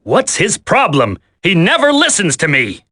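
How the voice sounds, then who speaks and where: Bright, brash cocky commando. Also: male voice, high voice, wildly swooping pitch, measured pace, slightly rough, heavily acted. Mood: grumbling. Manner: brash, colloquial. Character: cocky, grumbling male commando, brash and loyal. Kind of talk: dramatic video game voice acting.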